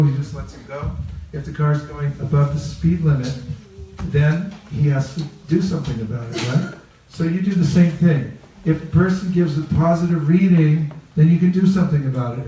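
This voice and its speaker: A man's voice: on the high side, dark tone. A man from California leading an interactive seminar, playfully questioning the group and repeating key phrases. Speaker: religious teacher leading a training talk. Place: reverberant room with a live group. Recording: echoey room, picked up from a distance.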